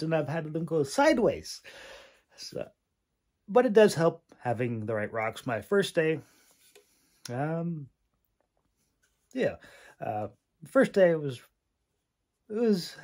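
A man's voice: on the high side, a little mellow. A man giving a casual, rambling vlog voice-over in a hesitant, self-deprecating, chuckling way. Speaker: video creator and hobby audiobook narrator.

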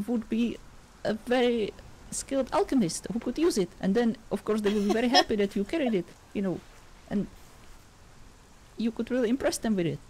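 A woman's voice: on the high side, darkish tone, husky, poor audio. A woman voicing an awkward, self-doubting, easily embarrassed storyteller in a fantasy game roleplay, hesitant and self-deprecating. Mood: earnest, halting.

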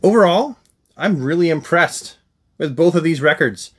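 A man in his forties hosts a casual record review with real enthusiasm. His voice sits a bit high and swings widely as he thinks out loud. Music plays clearly behind him. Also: animated, moderate pace. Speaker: host, album reviewer. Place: small indoor room full of collectibles. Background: rock music from a record player.

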